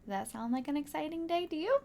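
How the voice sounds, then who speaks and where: A woman teacher reading a children's picture book aloud with dramatic emphasis and hushed asides to young listeners. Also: high-pitched, animated, quick pace, creaky.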